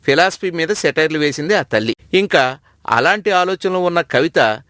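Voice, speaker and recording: Male narrator for scripted storytelling, somewhat high voice, very dry close sound. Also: expressive, normal speed, bright, forceful tone, no echo.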